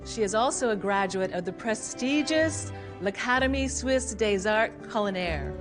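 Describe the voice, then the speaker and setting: An actress playing a gracious host of a cooking competition, announcing in a formal, measured way. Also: female voice, medium pitch, animated, close to an everyday voice. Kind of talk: film dialogue. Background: loud background music.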